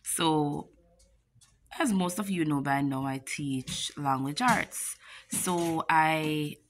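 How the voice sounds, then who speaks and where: A woman's voice: deep voice, takes it slowly, a little hiss or hum. A young woman with a Jamaican accent, a teacher telling a casual story-time vlog to a phone camera in her classroom, chatty and playful. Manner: chatty, animated retelling with playful asides. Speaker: teacher and vlogger. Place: classroom, indoors. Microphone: phone camera at arm's length.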